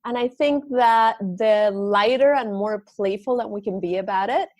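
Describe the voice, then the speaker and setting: A woman from Argentina talks as a podcast guest about her yoga and meditation work. She speaks in a lively, spiritual way, with frequent restarts. Her voice is recorded dry and close, with no room sound. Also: medium pitch, normal speed, no echo. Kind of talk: casual interview talk.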